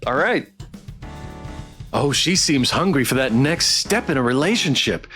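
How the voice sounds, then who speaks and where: A man with a mid-pitched voice hosting a game livestream. He is playful and very expressive, moving between chatty reactions and reading aloud, with soft music in the background. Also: unhurried. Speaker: streamer playing a visual novel.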